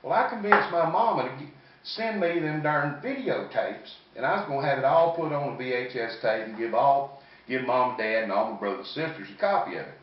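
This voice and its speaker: A man's voice: mid-range voice, a little fast, slight background noise. A man in his fifties with a Southern US accent doing stand-up storytelling in a folksy, rambling, salty-tongued way. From American South. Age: 50s. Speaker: comedian. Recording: echoey, distant, muffled room recording.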